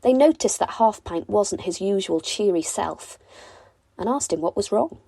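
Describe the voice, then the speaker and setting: A woman narrating a children's bedtime story in an expressive, deliberate storytelling manner. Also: mid-range voice, gravelly, slightly rough, no echo. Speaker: storybook reader.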